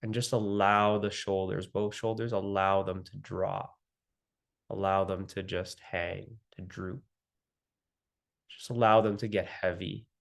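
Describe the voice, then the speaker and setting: A man leading a guided meditation as the guide, speaking gently and soothingly with long pauses between phrases. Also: sits low, little pitch movement, vocal fry.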